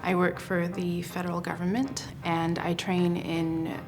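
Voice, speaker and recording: Low-voiced woman recalling her path. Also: flat delivery, noisy background. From West Africa. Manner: thoughtful, measured storytelling with pauses. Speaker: interviewee, combat sports athlete. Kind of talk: documentary interview.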